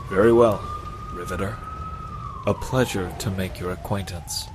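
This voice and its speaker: An actor playing a grave, seasoned crime fighter with formal, earnest speech in a radio superhero drama. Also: male voice, low voice, steady tone, moderate pace, very noisy, natural voice. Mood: solemn.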